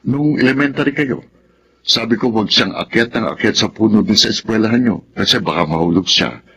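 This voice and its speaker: A man acting a patient, resigned middle-aged father in a Filipino radio drama, speaking in a measured, philosophical manner. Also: on the low side, vocal fry, fairly bright tone, dull audio. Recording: noticeable background noise.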